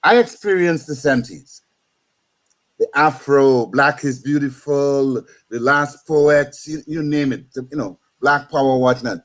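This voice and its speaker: A man's voice: mid-range voice, measured pace. A man in his fifties from Trinidad with a Trinidadian accent, telling stories on a radio talk show and often repeating words as he starts a sentence.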